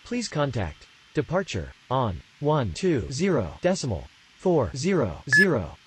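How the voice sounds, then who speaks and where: A man's voice: medium pitch, wildly swooping pitch, unhurried. A man speaking as an air traffic controller in clipped, scripted radio phraseology.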